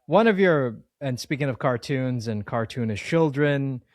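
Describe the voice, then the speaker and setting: A man's voice: mid-range voice, lively intonation, unhurried, no echo, clean studio sound. A man hosting a casual interview, talking in a rambling, jokey way and often repeating his words. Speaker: interview host.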